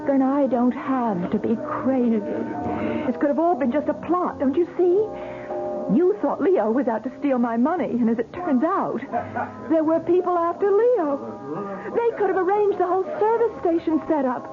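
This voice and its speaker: Anxious, insistent, high-voiced radio heroine. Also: female voice, animated, moderate pace, narrow, tinny audio. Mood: disbelieving, excited. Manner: earnest, wounded, hesitant then emphatic. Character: anxious, insistent woman desperate to be believed. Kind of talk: vintage radio drama acting. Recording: band-limited old radio recording with music.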